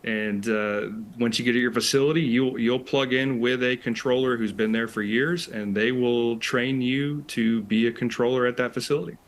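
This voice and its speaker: An American man, roughly thirties to forties, answering conversationally as an interview guest and specialist. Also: mid-range voice, steady tone, moderate pace, few pauses, a little hiss or hum. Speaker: interview guest, air traffic control specialist.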